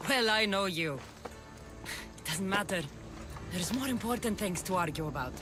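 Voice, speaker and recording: A woman's voice: medium pitch, animated, moderate pace, music in the background, natural voice. An actress playing a bold warrior woman coaxing her estranged younger brother in a video game cutscene. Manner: determined, coaxing. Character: bold female warrior, eager older sister.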